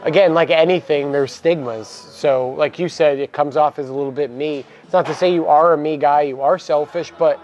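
A young man co-hosting a casual talk about coaching baseball, relaxed and filler-heavy, on a lapel mic in a cluttered equipment room. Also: a little high, moderate pace, hardly any pauses, dull audio, slight background noise. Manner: relaxed, many "you know" fillers. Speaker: baseball program coach and co-host. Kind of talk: casual podcast chat.

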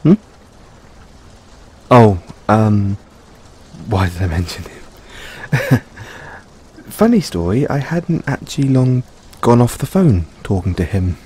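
Man playing a mellow, doting middle-aged boyfriend. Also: on the low side, slightly rough, full character voice. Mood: fond, chatty. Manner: playful, fond, chuckling between unhurried phrases. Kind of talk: intimate audio roleplay, addressed to the listener. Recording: steady rain noise in background. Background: rain falling.

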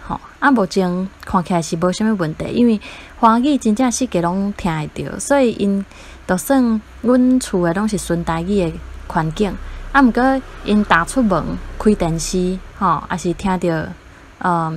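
A woman's voice: mid-range voice, normal speed, a little hiss or hum. A woman with a Taiwanese accent, sharing her experience as a parent in a casual interview.

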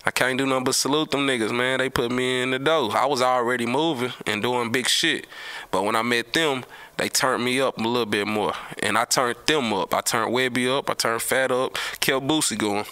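A middle-aged man tells street stories casually in slang, with emphatic, animated delivery and repeated words. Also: mid-range voice, moderate pace, clear, resonant tone. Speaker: storyteller, host of his own show. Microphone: close podcast mic on a boom arm.

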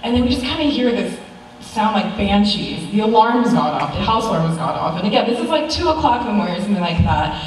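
A woman's voice: mid-range voice, big pitch swings, fast talker. American woman in a very echoey hall. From United States. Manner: conversational, chuckling through anecdotes. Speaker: niece giving a funeral tribute. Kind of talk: informal eulogy with personal stories. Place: large church sanctuary, at a pulpit. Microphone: far camera. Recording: very echoey church hall, distant mic, faint noise.

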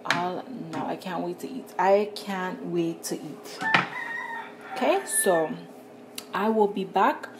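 A woman's voice: lowish voice, slow, long pauses, very noisy. A young woman chatting straight to her viewers while she cooks in her home kitchen, recorded on a phone. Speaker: vlogger. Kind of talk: casual cooking vlog. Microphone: phone camera.

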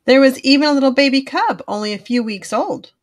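A woman reading a children's storybook aloud in an expressive, animated narrating style for young listeners. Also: sits high, brisk, dry recording, studio quality.

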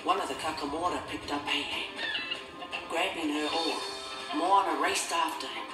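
A man's voice: fairly high pitch, moderate pace. A man reading a scripted children's storybook as its narrator. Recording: echoey, distant, music behind.

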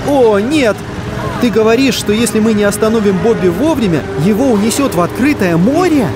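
A man playing an excitable, caring narrator in a children's cartoon, speaking expressively to little vehicle characters. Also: high voice, fairly quick, very noisy. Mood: alarmed. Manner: exclamatory, expressive, addressing small children. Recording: music behind the voice.

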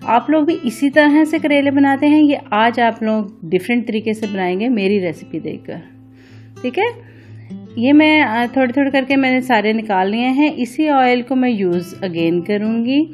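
Female cooking vlog host, higher-pitched voice, casual step-by-step instruction addressed to viewers, music behind. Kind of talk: casual recipe narration, step by step.

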